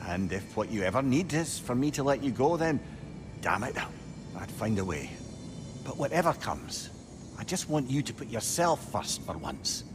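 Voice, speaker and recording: A man's voice: medium pitch, big pitch swings, moderate pace, natural voice. Guy voicing a lovesick wise scottish fella in a video game, heartfelt n wordy, music behind. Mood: earnest, wistful. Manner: earnest, eloquent. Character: eloquent, tender, lovelorn male sage, former scoundrel. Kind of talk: dramatic video game dialogue.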